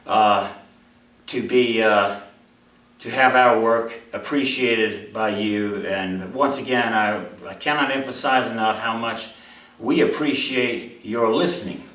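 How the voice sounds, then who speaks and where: A man, a writer speaking to an audience at a live reading in an expressive way. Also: lowish voice, slow. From Mobile, Alabama, USA. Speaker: writer reading his own short story. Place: indoor room at a live reading event. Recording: roomy, echoey hall, distant microphone.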